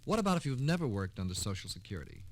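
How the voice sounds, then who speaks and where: A man's voice: mid-range voice, very animated, very fast. A man hosting a 1960s public service radio programme with a polished, scripted delivery. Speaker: radio host.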